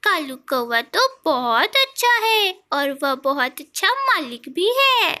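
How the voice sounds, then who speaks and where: Woman voicing a high, eager servant sparrow. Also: very animated, slow, sharp tone, heavily acted. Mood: earnest, devoted. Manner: gushing, deferential. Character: sweet, obedient female sparrow, eagerly devoted servant. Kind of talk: Hindi cartoon story voice acting.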